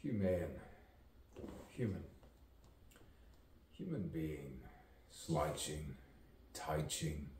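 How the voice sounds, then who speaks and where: A man performs a dramatic poetic monologue in a very low voice, unhurried and full of long pauses. His pitch moves widely as he muses and plays with rhyme. The recording is echoey, with the mic some way off. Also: expressive, darkish tone. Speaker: poet performing own monologue. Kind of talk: theatrical poetic soliloquy with wordplay and rhyme. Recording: roomy, distant mic, faint background noise.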